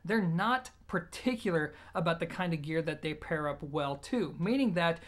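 A man's voice: fairly high pitch, animated, moderate pace, a bit bright. A man, giving a conversational, emphatic product review to camera in an explanatory, informal way. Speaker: audio gear reviewer and host. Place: small indoor room, plain wall.

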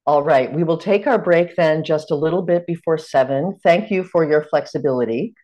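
A middle-aged American woman, a stage actor, welcoming participants and leading an online teaching session over a video call in a measured, welcoming way. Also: sits low, dark tone, lo-fi sound. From United States. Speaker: teaching workshop facilitator. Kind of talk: online lecture.

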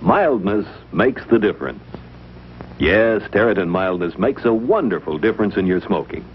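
A man's voice: lowish voice, animated, normal speed. A man narrating a scripted 1950s television advertisement. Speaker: commercial announcer. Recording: dull, faintly noisy 1950s commercial audio.

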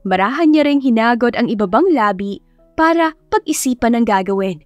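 A woman's voice: on the high side, expressive, normal speed, dry recording. A woman with a Filipino accent reading a romance story as scripted, dramatic audiobook narration. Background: soft background music.

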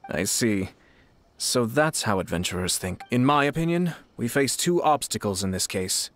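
Man playing composed courteous young nobleman, mid-pitched. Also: expressive, a bit bright, lightly acted. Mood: thoughtful. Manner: formal, measured, diplomatic. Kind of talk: video game character acting. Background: game music.

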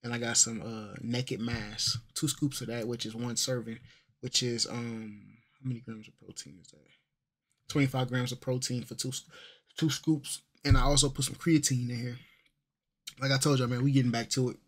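A laid-back man rambles through a casual vlog, full of fillers and slang. His voice sits at an ordinary middle pitch. He talks into a desk mic in a small bedroom. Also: little pitch movement, normal speed. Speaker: vlogger. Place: small bedroom with gaming setup. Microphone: desk microphone.

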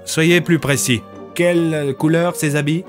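A man's voice: on the high side, fairly even intonation, unhurried, faint music behind, pitched above the performer's own voice, close to an everyday voice. A man playing a weary, businesslike police inspector in an audio story, asking short probing questions.

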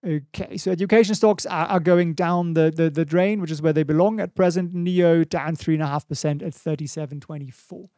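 A man's voice: on the high side, fairly even intonation, fairly quick, hardly any pauses, mellow, no room sound. German-accented man, dry close mic. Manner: chatty, thinks aloud, reads viewer questions. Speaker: stock market livestream host. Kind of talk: casual live market commentary. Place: small home studio, indoors. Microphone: studio mic on boom in front of face.

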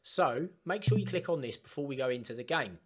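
A man chatting casually through a step-by-step software tutorial for gamers. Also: medium pitch, expressive, a little fast, slightly rough. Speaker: gaming tutorial host. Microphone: desktop microphone, voice-over of screen capture.

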